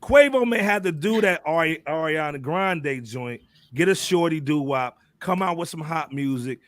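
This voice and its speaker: Male host, slightly high-pitched, dry close sound, slangy casual banter, repeats himself for emphasis. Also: expressive, normal speed, no echo. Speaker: podcast host moderating a panel. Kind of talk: animated casual music talk.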